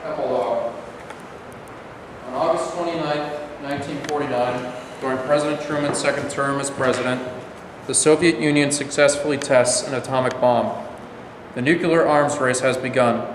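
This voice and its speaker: A man's voice: mid-range voice, fairly even intonation, normal speed, lightly acted. A man in a staged reading with a formal, deferential delivery, as a presidential aide and narrator. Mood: formal narration. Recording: very echoey noisy hall recording.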